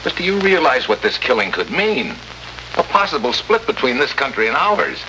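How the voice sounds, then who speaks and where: An actor playing a tough, sardonic American special agent in a 1950s radio drama, with a wry, clipped delivery. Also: male voice, mid-range voice, sing-song, moderate pace, close to an everyday voice. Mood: incredulous, stern. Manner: hard-boiled, wry, clipped. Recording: hissy, noisy old radio recording.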